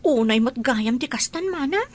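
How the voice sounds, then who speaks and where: A woman's voice: high voice, lively intonation, normal speed. A woman acting a sympathetic, inquisitive younger sister in a radio drama. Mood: concerned, probing.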